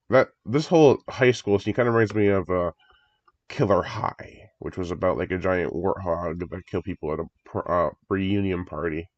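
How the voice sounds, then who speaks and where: Congested low-voiced man reacting to a trailer. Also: moderate pace, dry recording. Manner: enthusiastic, rambling, chuckles often. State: congested from a recent cold. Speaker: horror movie reviewer. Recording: dry, dull lo-fi home recording.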